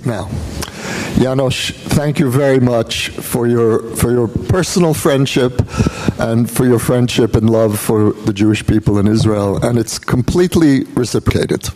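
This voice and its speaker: American guy giving a talk at an event, calm measured storytelling, bit of background noise. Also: medium pitch, rough recording. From United States. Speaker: guest speaker. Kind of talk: public talk. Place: event hall.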